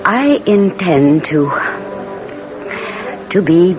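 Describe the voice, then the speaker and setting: An actress playing an elegant, knowing sweetheart in a 1970s radio drama, coy and coaxing in manner. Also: female voice, lowish voice, animated, very slow. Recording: dull old radio recording, music behind.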